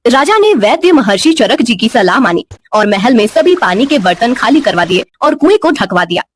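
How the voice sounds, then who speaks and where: Female storyteller for bedtime tales: high voice, lively intonation, faint music bed. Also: normal speed, breathy edge. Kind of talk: scripted bedtime story narration.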